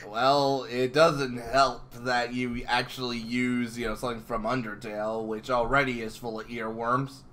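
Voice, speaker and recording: A man chatting casually as an interviewer, with an excitable, exclamatory way of talking. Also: mid-range voice, normal speed, hardly any pauses, a little hiss or hum. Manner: excitable, exclamatory, swearing emphatically. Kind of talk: casual fan interview chat.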